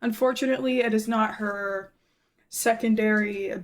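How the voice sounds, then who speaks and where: A young woman hosting a casual game reaction video, chatty, excitable and playful. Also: a little high, normal speed. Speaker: YouTube reaction host.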